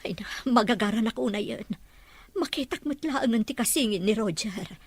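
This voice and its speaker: Casting: actress as loving, worried middle-aged mother, Ilocano Filipino accent, husky edge on a higher voice. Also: lively intonation, moderate pace, no echo. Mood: tender, hopeful. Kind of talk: radio-drama dialogue.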